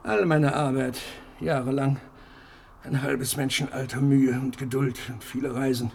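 An actor playing an older husband in a German radio play, fretful and proud, lamenting and rambling in an agitated way. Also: male voice, mid-range voice, steady tone, a little slow, creaky, darkish tone, breathy edge. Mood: wistful, brooding. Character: fretful, proud old husband guarding his life's collection.

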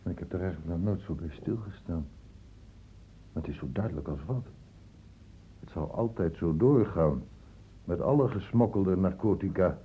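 An actor playing a worried, conscientious doctor in a radio drama, speaking in a grave, emphatic and humane manner. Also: male voice, sits low, animated, moderate pace, pauses often, warm tone, slight background noise. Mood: grave, concerned. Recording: muffled phone-like old radio recording.

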